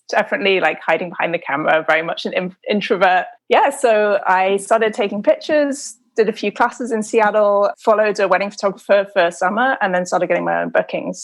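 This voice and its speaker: British lady, accent gone a bit american, middle aged, lots of vocal fry, just chatting as a podcast guest. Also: medium pitch. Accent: British softened by years in America. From United Kingdom. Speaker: interview guest, photographer and potter. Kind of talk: casual conversational storytelling.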